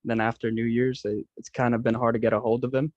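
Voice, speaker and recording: A man chimes in on a group call to share his own experience. He talks quickly in a flat, even tone. The audio is dry and muffled. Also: medium pitch, no echo. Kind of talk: casual chat.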